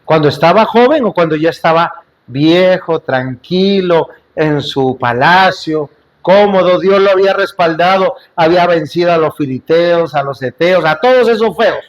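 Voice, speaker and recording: A man's voice: a little high, expressive, normal speed. A man preaching a sermon to a congregation in a church, in an emphatic, repetitive style with rhetorical questions to the listeners and light joking asides. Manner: jokes and asides in between.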